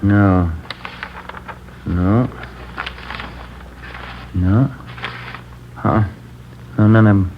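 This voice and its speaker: Easygoing detective partner, lowish voice. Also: male voice, extremely slow, pauses often. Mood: easygoing, reporting. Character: easygoing, plain-spoken male police detective partner. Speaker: police detective, the lead's partner. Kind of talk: 1950s radio drama, conversational. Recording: dull, faintly noisy old radio recording.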